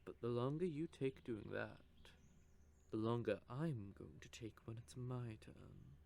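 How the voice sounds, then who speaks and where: A man voicing a cocky, possessive male vampire who teases with soft whispered asides, in an audio roleplay. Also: medium pitch, lively intonation, measured pace, gravelly. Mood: teasing. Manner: teasing, smug, with soft whispered stretches.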